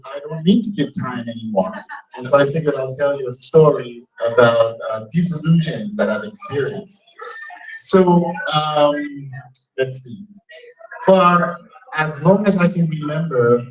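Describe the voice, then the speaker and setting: A man from Haiti, telling a self-mocking personal story to a live audience. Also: mid-range voice. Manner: conversational, self-mocking, builds to punchlines. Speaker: timekeeper and storyteller. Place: restaurant event room. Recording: distant mic in a live venue.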